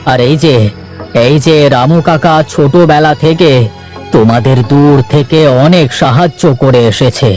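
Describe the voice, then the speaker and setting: Male actor playing a rich cartoon father, estranged from his daughters, assertive and insistent, music low behind. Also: a little slow, darkish tone, lightly acted. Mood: pleading, explaining. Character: insistent estranged father from a wealthy palace family. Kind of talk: Bengali animated moral story dubbing.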